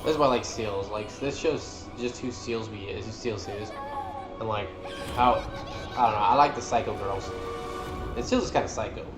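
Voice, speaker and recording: A man's voice: fairly low pitch, normal speed. A man giving casual, informal commentary on game cutscenes, talking like an enthusiastic fan. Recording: roomy echo, music behind. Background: game cutscene music.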